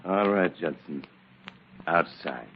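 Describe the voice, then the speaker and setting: An actor playing a gruff, menacing gangster henchman, curt and threatening, in old radio crime drama style. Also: sits low, slow pace. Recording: dull, lo-fi, hissy old radio recording.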